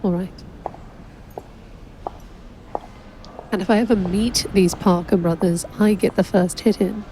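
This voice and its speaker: A woman acting in an audio drama as an exacting, frank royal calligrapher with a measured, dry manner. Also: mid-range voice, fairly even intonation, warm tone, slight background noise, close to an everyday voice, poor audio. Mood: wry. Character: exacting, wry female royal calligrapher, deferential but frank.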